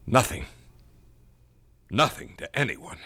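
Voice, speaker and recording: A man's voice: takes it slowly, bright, forceful tone. An actor playing a middle-aged, protective and domineering father in a radio drama, firm and commanding. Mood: stern, urgent. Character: protective, domineering father taking blame for his son.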